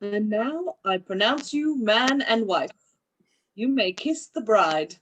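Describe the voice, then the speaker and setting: A woman's voice: mid-range voice, lively intonation, poor audio. A woman playing a solemn, ceremonious wedding officiant in a radio play. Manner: ceremonious, drawn-out.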